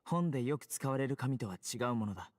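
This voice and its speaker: Man as a brisk, serious investigator. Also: mid-range voice, animated, no room sound, studio quality, lightly acted. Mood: analytical. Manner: measured, matter-of-fact, analytical. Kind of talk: Japanese game voice acting.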